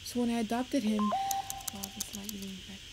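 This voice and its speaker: Puerto rican girl rambling fast on a stream, nervous little laughs, mid pitch, noisy audio. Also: fairly even intonation. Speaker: live streamer. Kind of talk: rambling casual chat on a livestream.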